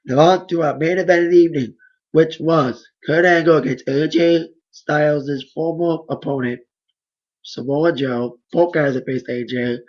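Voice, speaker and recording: Hoarse male reviewer, a bit high-voiced, loose rambling delivery, muffled lo-fi mic. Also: fairly even intonation, moderate pace, darkish tone. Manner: rambling play-by-play with restarts. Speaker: wrestling reviewer. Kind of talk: casual solo recap.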